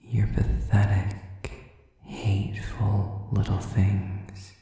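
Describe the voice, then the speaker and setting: A man playing a sadistic, contemptuous demon in an audio roleplay, whispering slowly and breathily with taunting condescension. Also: on the low side, flat delivery, mellow. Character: sadistic, contemptuous male demon preying on humans. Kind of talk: whispered dramatic monologue to the listener. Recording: distant, very echoey, muffled sound.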